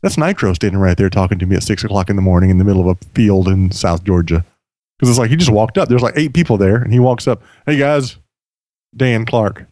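A man with a low, slightly hoarse voice hosts a casual podcast, rambling along with plenty of restarts. He speaks close to the microphone in a dry, dead-sounding room. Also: a little mellow, no echo. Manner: chatty, rambling, many restarts. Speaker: podcast host.

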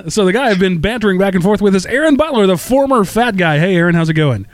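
A man's voice: high-pitched, lively intonation, fast, no echo, clean studio sound. A man hosting a casual tech podcast, chatty and self-deprecating, with lots of fillers and restarts. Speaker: podcast host.

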